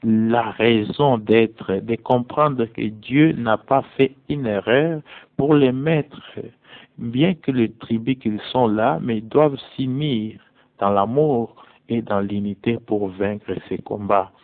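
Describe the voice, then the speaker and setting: A man preaching as a prophet in slow, deliberate French, his being English. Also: medium pitch, dry recording. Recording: tinny phone-like audio, dry.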